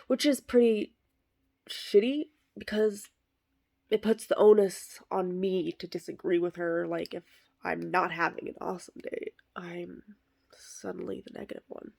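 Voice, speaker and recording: Woman performing a male horse figure, bitter, sardonic, deadpan, low-pitched, gravelly. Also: moderate pace, exaggerated voice. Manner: deadpan, self-deprecating, wry. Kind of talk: comic monologue performance.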